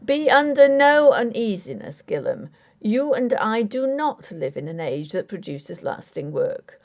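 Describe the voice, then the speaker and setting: A woman acts out a Victorian squire in a gothic audiobook tale. He is proud and immovable, making formal pronouncements with strong emphasis. The tone is mellow, yet the pitch moves widely from phrase to phrase. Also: sing-song, measured pace, gravelly. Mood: dryly condescending. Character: resolute, haughty Victorian gentleman squire.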